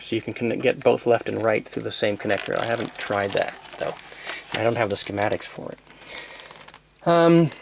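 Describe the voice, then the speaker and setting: A man with a mid-pitched voice presents a hands-on technical demonstration. He explains things methodically and without a script, in a halting, hesitant way, restarting phrases as he works through the details. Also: gravelly, a little hiss or hum. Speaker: presenter demonstrating vintage tape recorders.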